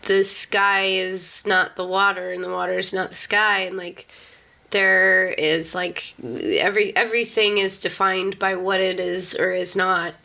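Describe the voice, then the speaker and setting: A woman's voice: mid-range voice, fairly even intonation, a little slow, creaky, pushed and bright. A woman hosting a podcast in casual, informal chat, with lots of "like" in her phrasing. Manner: informal, peppered with "like". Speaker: podcast host.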